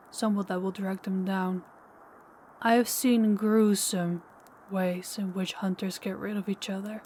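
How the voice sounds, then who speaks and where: A woman's voice: mid-range voice, darkish tone, in a large hall, slight background noise, close to an everyday voice. A woman playing a menacing, possessive ancient female vampire in an intimate audio roleplay, taunting and commanding, sometimes dropping toward a whisper. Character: possessive, menacing older female vampire, toying with captive huntress.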